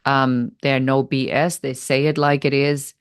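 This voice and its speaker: A woman from Germany with a German accent, answering interview questions in a deliberate, explanatory way. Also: extremely low pitch, little pitch movement, smooth, no room sound, clean studio sound. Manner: deliberate, explanatory, pace shifts between slow and quick. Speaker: business strategist guest.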